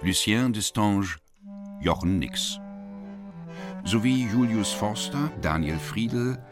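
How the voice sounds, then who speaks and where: A man reading the title and cast of a radio drama as a formal, measured announcer. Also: fairly low pitch, animated, music in the background. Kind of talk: scripted credits announcement.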